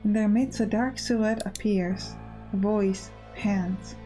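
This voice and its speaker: A woman's voice: mid-range voice, fairly even intonation, slow pace, warm tone, faint music behind. A woman hosting a gaming let's play, chatting and reading game text aloud. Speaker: gaming channel host.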